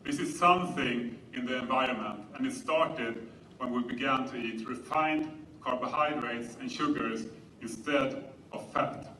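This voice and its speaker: Bright-voiced Swedish man lecturing in roomy space. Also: medium pitch, unhurried. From Sweden. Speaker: conference speaker. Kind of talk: public presentation lecture. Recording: roomy, distant mic, faint noise.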